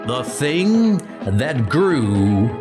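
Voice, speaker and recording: A man's voice: mid-range voice, very animated, very slow. A man narrating a comic adventure in a dramatic, scripted style. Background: music.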